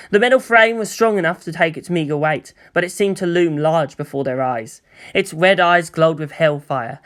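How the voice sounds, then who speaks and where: A reader narrating a long fan fiction chapter aloud in a steady storytelling manner. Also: mid-range voice. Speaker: fanfic reader.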